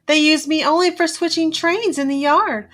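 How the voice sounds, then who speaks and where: A woman's voice: high-pitched, normal speed, a bit bright, pitched above the performer's own voice. A woman voicing a small, modest female train engine in a storybook, kindly and earnest. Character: kind, modest very little female blue engine.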